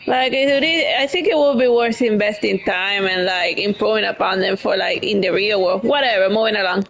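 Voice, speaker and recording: A woman's voice: mid-range voice, normal speed, gravelly, fairly bright tone, slight background noise, poor audio. A woman streamer giving casual, rambling live commentary on a game she is playing.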